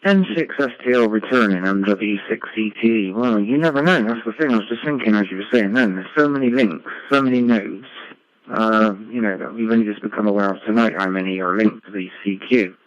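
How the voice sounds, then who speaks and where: A man's voice: fairly quick, no echo. A man chatting casually as an amateur radio operator, rambling along with frequent tag questions over a radio link. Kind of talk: casual ham radio chat. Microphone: radio link played through a computer. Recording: muffled low-fi digital radio link.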